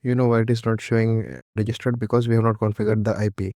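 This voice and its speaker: A man giving an unscripted, step-by-step technical setup walkthrough in a halting, repetitive instructional way. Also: fairly low pitch, little pitch movement, mellow, no room sound, studio quality. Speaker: technical tutorial presenter.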